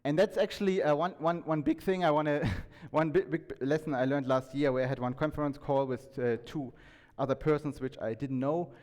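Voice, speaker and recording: A man giving an informal talk to an audience, conversational and halting, often restarting his sentences and checking in with questions. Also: on the high side, steady tone, a little mellow. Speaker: conference speaker. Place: indoor talk venue, reverberant room. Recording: echoey hall with long reverb.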